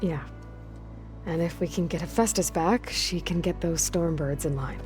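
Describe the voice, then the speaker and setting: A woman's voice: fairly low pitch, moderate pace, over music, lightly acted. A woman playing a young, determined outcast hunter in a video game, speaking plainly with terse, dry humor. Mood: casual. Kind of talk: naturalistic video game acting.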